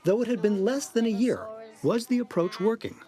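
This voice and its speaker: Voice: medium pitch, animated, fairly quick, warm tone, faint music behind. A reporter delivering scripted news narration.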